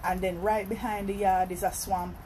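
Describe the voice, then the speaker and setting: A woman's voice: mid-range voice, little pitch movement, moderate pace, slight background noise. Chatty Jamaican woman vlogging. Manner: chatty, greets viewers with shout-outs between stories. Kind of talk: casual live-stream chat.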